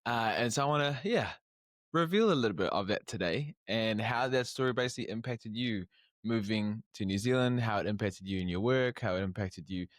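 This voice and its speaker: A man's voice: lowish voice, dry recording, clean studio sound. A young man hosting a podcast interview, talking casually and stopping often to restart his sentences. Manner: halting, many restarts and fillers. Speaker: podcast host.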